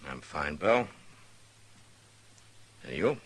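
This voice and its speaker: A man's voice: a bass voice, sing-song, slow, a bit bright, full character voice. A man playing a stern, watchful, world-weary US Marshal in an old-time radio western, speaking tersely. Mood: reserved. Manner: terse, gruff. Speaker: lead actor in a radio western. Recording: vintage radio drama recording.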